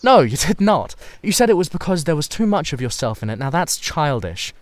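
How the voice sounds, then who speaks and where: A man voicing a cynical, amused young gentleman in a dramatised audiobook, delivering his wit in an emphatic, theatrical drawl. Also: a little high, big pitch swings, a little fast, dry recording, pitched above the performer's own voice. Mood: playfully insistent. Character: witty, cynical young gentleman, amused and languidly self-assured.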